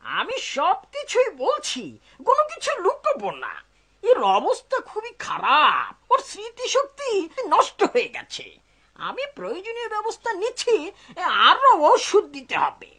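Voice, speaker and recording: A woman's voice: very high-pitched, huge pitch swings, normal speed, bright, forceful tone, heavily acted. A woman playing a wily, money-minded old healer in a cartoon folk tale, with an exaggerated delivery. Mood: grave. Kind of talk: cartoon dub acting.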